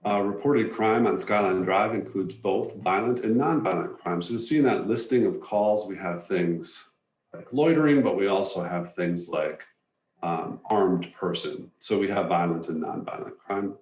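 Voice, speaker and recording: A man giving an informational slide presentation to residents in a measured, matter-of-fact, explanatory way. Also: low-pitched, a little mellow. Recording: roomy echo, distant from microphone.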